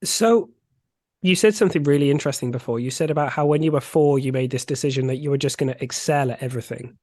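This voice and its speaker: A man's voice: mid-range voice, quick pace, darkish tone, no echo, studio quality. A man hosting a podcast, asking thoughtful, searching questions. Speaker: podcast host. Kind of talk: interview questions.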